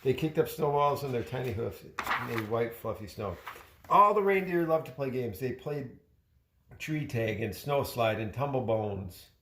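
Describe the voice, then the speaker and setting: Dad reading a storybook in an echoey room. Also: male voice, mid-range voice. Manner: expressive, pausing to chat with the child. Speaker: father reading a bedtime-style story to a child. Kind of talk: children's storybook read aloud. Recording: roomy, echoey home recording.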